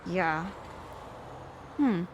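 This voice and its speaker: A woman playing a detective's concerned, conversational partner in a radio drama, with an expressive delivery. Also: lowish voice, drawn-out words, lo-fi sound. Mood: wry. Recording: clear roadside background noise.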